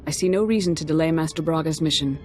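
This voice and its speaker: Deep-voiced, composed Jedi council woman played by an actress. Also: fast, faint music behind, lightly acted. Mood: calm, firm. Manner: formal, diplomatic, controlled. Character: composed, authoritative female Jedi council master. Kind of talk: cinematic video game acting.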